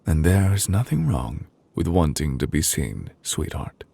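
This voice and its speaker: A man playing a solemn, devoted fantasy judge in an intimate ASMR roleplay, speaking gently and deliberately in a husky voice, comforting his beloved. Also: extremely low pitch, very animated, no echo, heavily acted. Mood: soothing, earnest. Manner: slow, deliberate, gently reassuring with pauses. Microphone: close, dry studio microphone.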